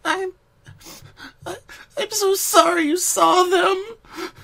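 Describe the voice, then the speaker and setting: Sweet, earnest young female cartoon pony voiced by a story reader, high-pitched, timid, hesitant delivery. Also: fairly even intonation, slow, gravelly, sharp tone, exaggerated voice. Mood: tearful, apologetic.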